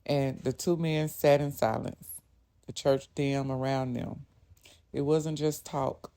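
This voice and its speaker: Slow, low-voiced woman storyteller. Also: steady tone, warm tone. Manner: slow, measured, reverent.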